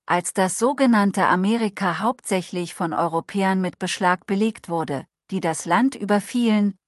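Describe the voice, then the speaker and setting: An outspoken, blunt Swiss man, read by a woman whose native tongue is Swiss German, holding forth in long formal sentences. The delivery stays level in pitch and earnest throughout. It is recorded close and dry, with no room sound. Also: no echo, close to an everyday voice. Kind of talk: reading a transcribed conversation aloud.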